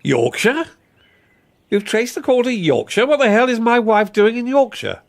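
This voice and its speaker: An actor playing a bewildered, pleading middle-aged British husband whose wife has vanished, in a radio drama. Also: male voice, extremely high pitch, expressive, normal speed, no echo. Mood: exasperated, upset. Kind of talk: BBC radio drama acting.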